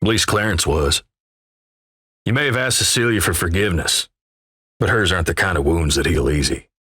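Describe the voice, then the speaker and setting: A man playing a gruff, folksy, wry Old West narrator in a video game, with a gravelly American Western drawl and measured, ominous storytelling. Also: low-pitched, pushed and bright, no room sound, studio quality, exaggerated voice. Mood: somber, judging. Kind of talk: dramatic second-person scripted game narration.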